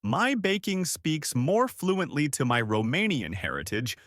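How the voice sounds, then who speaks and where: Man reading an essay with lively pitch. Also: unhurried, no echo, studio quality. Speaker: essay reader. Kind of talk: reading a personal essay aloud.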